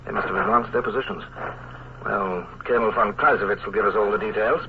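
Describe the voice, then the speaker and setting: An actor playing a wry, impatient naval commodore in an old radio drama, also narrating, with a clipped officer's manner. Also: male voice, lowish voice, steady tone, quick pace, close to an everyday voice. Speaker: narrator and lead. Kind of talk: 1950s radio drama acting. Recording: tinny, hissy old radio broadcast.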